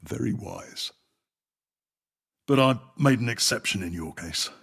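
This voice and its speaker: An actor playing a wary former tramp turned publican in an audio drama, husky-voiced, with a folksy, deferential manner. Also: male voice, on the low side, expressive, measured pace, a bit bright, exaggerated voice. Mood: deferential, sly.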